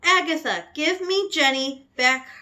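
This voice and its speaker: A woman voicing the ghostly voice of a dead man, speaking slowly and threateningly. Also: sits high, pushed and bright, pitched above the performer's own voice. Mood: menacing.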